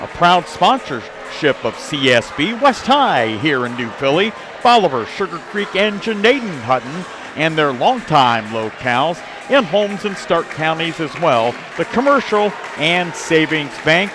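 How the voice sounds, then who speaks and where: A man with an American accent and a fairly high voice hosts a pre-game radio show, reading sponsors and setting up the game. A faint crowd and some gym noise are behind him. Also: sing-song, measured pace. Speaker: sports radio host. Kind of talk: pre-game broadcast hosting with sponsor reads. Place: basketball gym.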